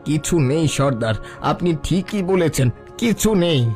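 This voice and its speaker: Guy doing a loyal cartoon bandit sidekick, kinda high voice, humble n earnest, music behind. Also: expressive, moderate pace, a little mellow, natural voice. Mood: concerned. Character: loyal male bandit henchman, humble and reforming. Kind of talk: Bengali cartoon story dialogue.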